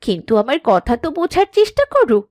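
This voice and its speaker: High, swooping young village wife. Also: female voice, huge pitch swings, fast, darkish tone, no room sound, studio quality. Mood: pleading. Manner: pleading, whiny, exaggerated. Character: work-shy young farmer's wife, wheedling, sulky, scheming. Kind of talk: animated moral-story cartoon dialogue.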